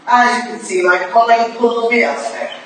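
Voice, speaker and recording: A woman's voice: a little high, steady tone, quick pace, close to an everyday voice. A woman playing a shrewd, formal older female detective in a staged reading of a Victorian drama, with a clipped, imperious manner. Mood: brisk, guarded. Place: live staged reading in a roomy indoor venue. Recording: echoey hall from far off, noisy.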